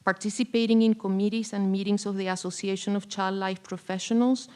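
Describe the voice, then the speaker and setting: A woman's voice: mid-range voice, steady tone, fairly quick, mellow, clean tone. A woman presenting an award with a prepared, formal tribute speech. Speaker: award presenter, faculty colleague.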